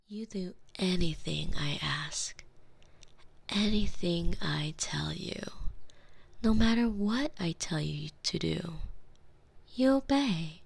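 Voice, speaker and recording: A woman whispering slow, commanding hypnosis ASMR with a soft, breathy voice. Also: lowish voice.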